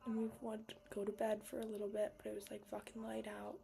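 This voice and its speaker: A young woman telling a personal story in a hushed whisper, frank and full of swearing. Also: medium pitch, monotone, brisk, vocal fry, a little hiss or hum.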